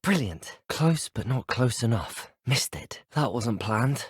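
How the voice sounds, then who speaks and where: Polite British student hero, expressive. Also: male voice, mid-range voice, slow, slightly rough, dry recording, studio quality, exaggerated voice. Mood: playful self-commentary. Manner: courteous, well-spoken, sincere. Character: earnest, polite young male student wizard hero. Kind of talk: video game voice acting.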